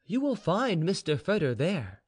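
Man putting on a sly high-pitched servant informer. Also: wildly swooping pitch, a little slow, darkish tone, husky, heavily acted. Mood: confiding. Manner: deferential, insistent, bargaining. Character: sly, obsequious male serf informer, quietly confident.

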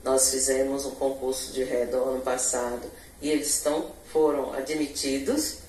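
Woman, middle-aged, Bahian accent, measured bureaucratic tone, handheld mic, echoey office. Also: rumbling low, level tone, a little mellow, far from the mic, a little hiss or hum. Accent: Brazilian Portuguese, Bahia. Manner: measured bureaucratic explanation, occasional self-corrections. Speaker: municipal education secretary. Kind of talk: formal interview answers. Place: indoor office in front of a logo backdrop. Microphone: handheld TV reporter mic. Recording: echoey room, voice a bit off-mic.

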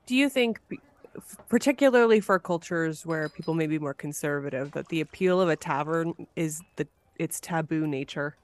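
A woman's voice: low-pitched, moderate pace, no room sound. A woman hosting a podcast, discussing ideas in a casual conversational style. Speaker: podcast host.

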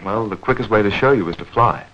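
A man narrating a 1940s educational newsreel in a measured, clearly enunciated, explanatory manner. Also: on the low side. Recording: dull vintage 1940s film soundtrack.

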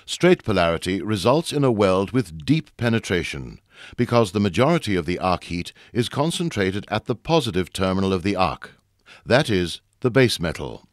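A man reading a scripted instructional narration for a training video in a measured, formal explaining way, recorded as a close studio voice-over. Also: deep voice, very animated, dry recording.

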